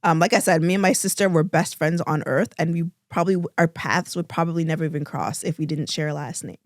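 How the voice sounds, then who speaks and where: A woman's voice: deep voice, quick pace, no room sound, studio quality. A woman in her thirties co-hosting a casual podcast, congested and hesitant, with many restarts and fillers. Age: 30s. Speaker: podcast co-host. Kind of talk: casual chat.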